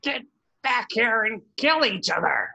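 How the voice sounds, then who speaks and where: A man playing a greedy, frantic old cartoon crab who owns a restaurant, with a gravelly voice. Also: very high-pitched, big pitch swings, measured pace, bright, forceful tone, exaggerated voice. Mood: frantic, outraged. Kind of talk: animated cartoon table reading.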